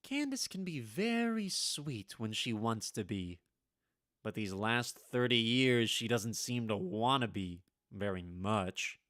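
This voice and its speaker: Man acting a nervous, henpecked professor, animated and bright. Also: mid-range voice, takes it slowly, no room sound, studio quality. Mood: weary, rueful. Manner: stammering, nervous, confiding. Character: henpecked, haunted male history professor, anxious and plotting. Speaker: radio drama actor. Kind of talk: vintage radio drama acting, confiding monologue.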